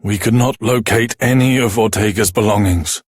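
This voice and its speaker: A grave soldier from a fantasy game, acted by a man, formal in his report. His voice is fairly low, recorded close and dry. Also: normal speed, no room sound, close to an everyday voice. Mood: grave, regretful. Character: grave male soldier bringing bad news. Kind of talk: video game voice acting.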